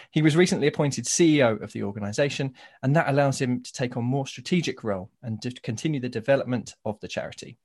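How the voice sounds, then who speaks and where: A man from South East London hosting a podcast, telling wry stories and reading out a guest introduction. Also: mid-range voice. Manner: easygoing, wry storytelling. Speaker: podcast host. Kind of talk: casual chat and read introduction.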